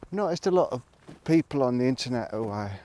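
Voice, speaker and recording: A man talking casually to a vlog audience in a reflective, rambling monologue, musing haltingly and trailing off between thoughts. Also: medium pitch, lively intonation, warm tone. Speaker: vlogger. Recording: noticeable background noise, clicks.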